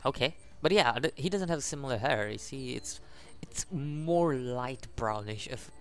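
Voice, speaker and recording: Teenage male gamer, eighteen, average pitch, casual stumbling narration of on-screen text over soft music. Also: expressive, smooth. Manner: halting reading, stumbles over words. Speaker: gaming YouTuber. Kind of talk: casual let's play, reading game text aloud with commentary.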